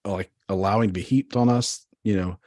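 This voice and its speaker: A man's voice: on the low side, fairly even intonation, brisk, darkish tone, no room sound, studio quality. A man co-hosting a podcast, rambling in casual chat full of fillers. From United States. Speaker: podcast co-host.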